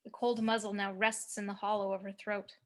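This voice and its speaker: A woman's voice: medium pitch, flat delivery, a little fast, fairly bright tone. A woman reading screenplay action as narrator, with dramatic, clipped phrasing, recorded over a home video call.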